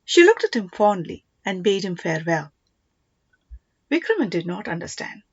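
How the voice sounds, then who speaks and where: Female audiobook narrator, mid-pitched, scripted storytelling, close dry sound, slightly muffled. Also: big pitch swings, normal speed, breathy edge, no echo.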